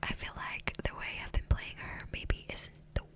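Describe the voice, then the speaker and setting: Whispering woman, soft ASMR ramble. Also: normal speed. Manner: rambling, hesitant, self-interrupting. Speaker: ASMR gaming video creator. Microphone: close, sensitive USB microphone.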